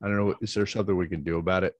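A man chairing a public commission meeting over a video call, speaking in a measured, halting way with many fillers and restarts. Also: sits low, fairly even intonation.

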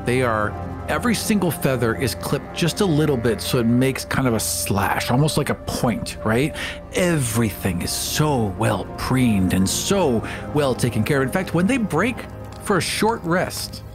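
Male game player, very expressive intonation, describing his character with music behind him. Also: medium pitch, measured pace. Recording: music bed under the voice. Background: background music.

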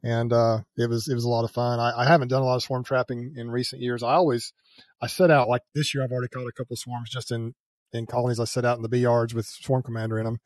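Older guy on a video call w/ headset mic, rambles and corrects himself, talks quick. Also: medium pitch, flat delivery, no room sound. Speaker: beekeeping channel host. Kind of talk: casual interview chat. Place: home room with a headset mic. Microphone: headset with a boom mic, video call.

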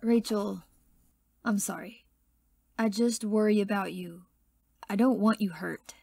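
A woman's voice: medium pitch, a little slow, creaky, dark tone, slightly rough, dry recording, studio quality. A woman playing a studious, blunt and guarded teenage schoolgirl in an audio drama. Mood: apologetic.

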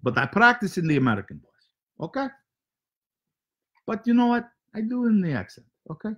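A man's voice: a little high, takes it slowly, pushed and bright, dull audio, heavily acted. A man voicing a rambling foreign male show host who speaks broken English and keeps losing his words. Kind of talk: comedic character monologue.

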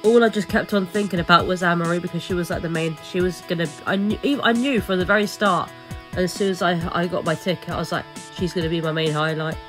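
Young female vlogger, casual voice-over, lots of ums and restarts, lower pitch. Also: fairly even intonation, fast talker, a bit bright, soft background music. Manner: rambling, many fillers and restarts.

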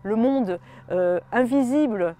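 A middle-aged woman, administrator of a historic monument, speaking on camera outdoors by a stone wall, measured with frequent hesitations. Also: fairly high pitch, warm tone. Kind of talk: on-camera interview statement.

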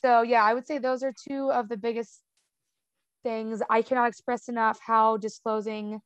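A woman's voice: on the high side, little pitch movement, moderate pace, clean tone, dry recording. A young woman with a stutter, talking casually as an interview guest, with sound repetitions, blocks and lots of "um" and "like".

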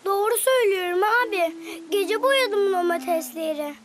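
A woman's voice: very high-pitched, expressive, moderate pace, slight background noise. A woman voicing an eager, earnest, impatient little girl in a children's cartoon. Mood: upset.

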